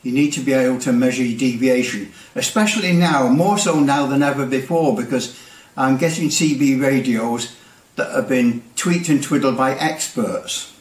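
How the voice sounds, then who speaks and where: A man's voice: medium pitch, normal speed. An older man in his seventies with a British accent, casually explaining technical things to camera and sometimes repeating his words. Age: older, around 70s. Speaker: presenter of a CB radio channel. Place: small indoor workshop with bare brick walls. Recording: roomy echo, distant microphone.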